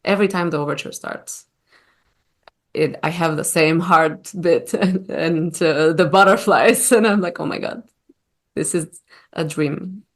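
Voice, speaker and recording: A woman from Armenia, speaking English as a guest in a casual interview and often pausing to search for words. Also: on the low side. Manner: warm, searching for words with many fillers. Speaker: guest opera singer.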